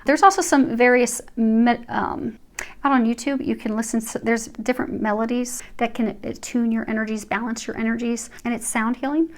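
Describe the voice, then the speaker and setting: A woman's voice: on the high side, fairly even intonation, moderate pace. Reassuring woman giving a tarot reading. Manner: earnest, reassuring, addresses viewers directly. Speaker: tarot reader.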